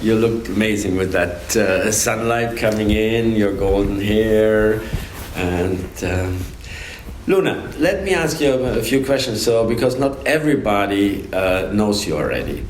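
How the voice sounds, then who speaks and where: Casting: middle-aged male interviewer, unhurried and halting, lowish voice, roomy recording. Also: lively intonation. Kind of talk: casual interview. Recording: echoey room with background noise.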